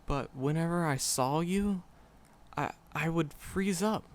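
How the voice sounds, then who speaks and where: A man playing a shy, flustered, lovestruck magic professor in a roleplay audio drama, stammering and hesitant. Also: on the high side. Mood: bashful confession. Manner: nervous, heavy stammering, hesitant, halting. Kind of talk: roleplay audio drama, speaking softly to the listener.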